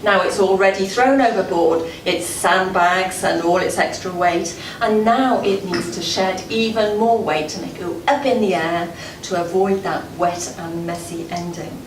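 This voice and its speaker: A woman's voice: fairly low pitch, measured pace, hardly any pauses. Distant, echoey woman giving a prepared speech. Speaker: club president giving an after-dinner address. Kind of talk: prepared public speech, storytelling. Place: indoor gala dinner room before an audience. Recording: echoey room, far from mic, noisy.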